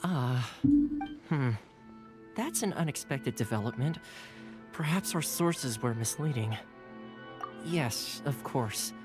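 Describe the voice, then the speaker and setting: An affable young clan attendant from a video game, courteous and easygoing with a playful streak. His voice moves animatedly up and down, with music clearly heard behind it. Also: mid-range voice, animated, slow, pauses often. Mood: businesslike. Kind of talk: video game character acting.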